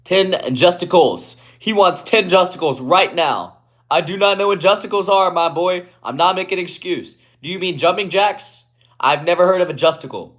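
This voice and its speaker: A young man from Guatemala on a casual live stream, reading comments aloud and answering in a laid-back way, often trailing off quietly, through a phone propped on a ledge. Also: a little high. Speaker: livestreamer.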